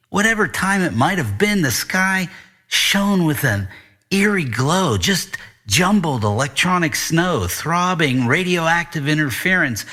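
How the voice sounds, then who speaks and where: A man's voice: a little high, bright, forceful tone, pitched above the performer's own voice, exaggerated voice. A man narrating a noir story as a confused, self-mocking tenth-grade boy, in a conversational, sardonic way. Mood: uneasy, confused. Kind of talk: first-person noir audiobook narration.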